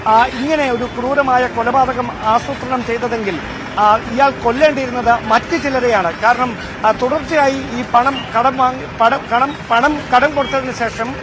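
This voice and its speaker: Very high-pitched male field reporter. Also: background noise. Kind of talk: live news report, unscripted commentary.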